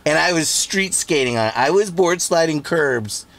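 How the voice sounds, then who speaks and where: A man's voice: a little high, lively intonation, normal speed, a loud crowd. A man in his late forties, a podcast guest, telling stories in a loose, rambling way with lots of fillers, at a studio mic. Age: 40s. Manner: laid-back, rambling storyteller with lots of fillers. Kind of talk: casual chat. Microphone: studio mic on boom.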